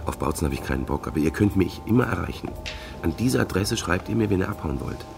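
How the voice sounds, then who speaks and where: An actor playing a wary, conspiratorial contact in a crime radio play, gravelly, giving clipped and businesslike instructions. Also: male voice, low-pitched, sing-song, quick pace, over music, full character voice. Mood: guarded, businesslike. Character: wary male smuggler-helper, hushed and businesslike.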